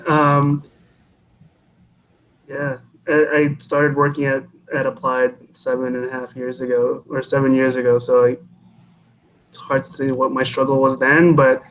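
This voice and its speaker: Flat-toned young man giving career advice. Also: mid-range voice, slight background noise, poor audio. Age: 20s. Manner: measured, advice-giving, with frequent um pauses. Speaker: engineering panelist. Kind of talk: panel answers to students. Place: college presentation event.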